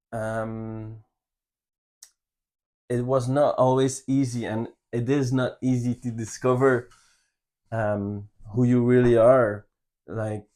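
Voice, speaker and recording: Flemish guy in his 30s telling his story, slow and earnest, stops and restarts a lot. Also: medium pitch, mellow. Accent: Flemish Belgian. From Belgium. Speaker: channel co-host. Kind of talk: personal life story, casual talk.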